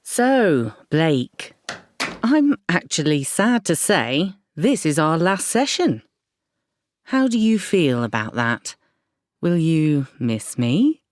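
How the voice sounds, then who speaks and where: An actress voices a coy, seductive woman counsellor with a schemer's guile. Her voice sits slightly low and moves slowly, with lively rises and falls. It is an audio drama performance, recorded dry. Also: dry recording, heavily acted. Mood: coy, flustered. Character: coy, seductive female counsellor, secretly a con artist.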